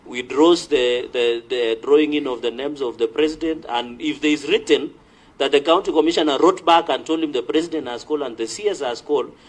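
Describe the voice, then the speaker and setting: A man's voice: on the high side, a little fast, rough recording. A man with a Kenyan accent speaking as a senator in formal committee debate, making his case insistently, phrase by phrase.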